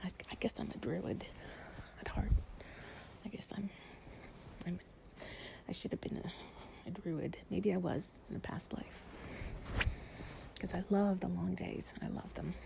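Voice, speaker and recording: A woman playing an affectionate, playful girlfriend in an improvised bedtime cuddle audio, speaking softly and breathily, often near a whisper, in a rambling way. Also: fairly low pitch, fairly even intonation, measured pace, gravelly, pauses often. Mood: chatty, playful. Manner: soft, rambling, affectionate, giggly. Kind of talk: improvised intimate relaxation roleplay audio, ASMR-like.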